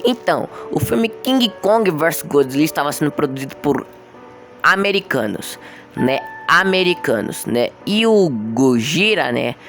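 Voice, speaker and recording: A man's voice: fairly high pitch, animated, a little slow, fairly bright tone. A young man with a Brazilian accent hosting a casual explainer, telling a story informally and talking straight to viewers. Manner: informal, conversational, addresses viewers directly. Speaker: video host. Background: music.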